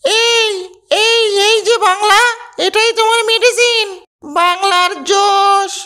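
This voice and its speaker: Cartoon sidekick guy, sly and eager, high and loud, a woman voicing him. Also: takes it slowly, exaggerated voice. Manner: excited, wheedling. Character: sly young man friend, eager sidekick. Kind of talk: cartoon voice acting.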